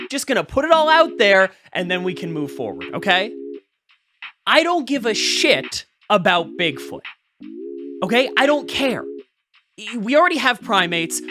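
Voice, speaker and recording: An actor playing an earnest, opinionated conspiracy-theorist host who rambles casually and emphatically through an audio-drama video monologue. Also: medium pitch. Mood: upbeat, confiding, then exasperated, emphatic. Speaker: host of a fringe-topics show.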